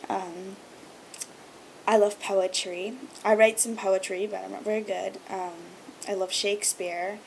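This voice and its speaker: A woman's voice: medium pitch, level tone, measured pace, gravelly, slight background noise, poor audio. A young woman whose first language is Hebrew, chatting to camera in a gushing, filler-heavy vlog. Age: young adult. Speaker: vlogger. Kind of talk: casual chat to camera.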